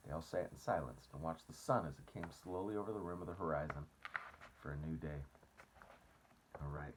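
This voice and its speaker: A man reading a children's book aloud as expressive storybook narration. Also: a bass voice, fairly quick, noisy background.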